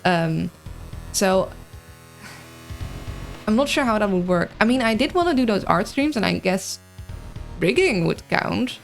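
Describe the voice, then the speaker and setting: A woman's voice: medium pitch, lively intonation, measured pace, gravelly, long pauses, soft background music. A woman with a mild, mixed Dutch accent, streaming a game in casual chat and thinking aloud hesitantly. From Netherlands. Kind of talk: casual livestream chat and puzzle-game commentary.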